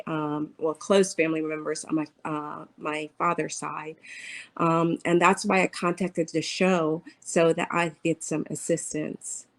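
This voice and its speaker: A woman in her fifties, a guest in an interview, telling her own story in an earnest, halting way with many pauses and fillers. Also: fairly low pitch. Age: 50s.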